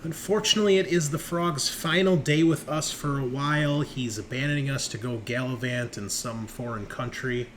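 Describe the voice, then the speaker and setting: A man's voice: mid-range voice, normal speed, few pauses. A man hosting a tabletop roleplaying show, chatting in a casual, informal and jokey way as he introduces things. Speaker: host and game master of a tabletop roleplaying show. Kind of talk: casual podcast introduction and banter. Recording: clearly noisy background.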